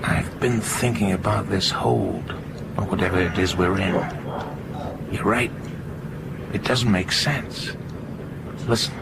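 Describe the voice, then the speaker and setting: A man acting in a radio drama as a thoughtful, suspicious passenger, speaking in a hushed, deliberate way. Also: gravelly, exaggerated voice. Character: thoughtful, suspicious male passenger plotting quietly. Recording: loud rumbling background noise.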